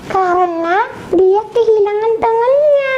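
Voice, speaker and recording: A woman's voice: very high voice, expressive, warm tone. Young girl, sing-song storytelling, dull lo-fi sound, faint hiss. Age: child. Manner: slow, halting, sing-song. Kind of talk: telling a children's story.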